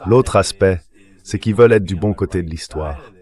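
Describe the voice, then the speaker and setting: A man speaks in a very low-pitched voice. It is a synthetic voice-over translating an analyst's political commentary. The delivery is measured and explanatory. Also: a little mellow.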